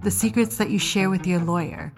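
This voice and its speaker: A woman playing a seductive, probing lover who coaxes softly in an audio drama. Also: fairly low pitch, a little fast, natural voice. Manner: soft, coaxing. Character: Delilah, seductive, probing, manipulative adult female lover. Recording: music under the voice.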